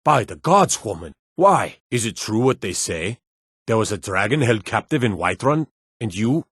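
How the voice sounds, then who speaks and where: An actor playing a gruff town guard in a fantasy video game, speaking in terse, emphatic, gossipy remarks. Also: male voice, on the low side, dry recording, studio quality, exaggerated voice. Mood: shocked. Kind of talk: fantasy game character barks.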